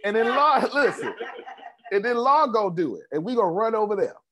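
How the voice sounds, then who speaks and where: Male business coach, bragging and preachy on a video call, high voice that swings a lot. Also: lively intonation, fairly quick, noisy background. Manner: boastful, preachy, calls on attendees by name. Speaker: business coach hosting an online meeting. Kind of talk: animated motivational group call.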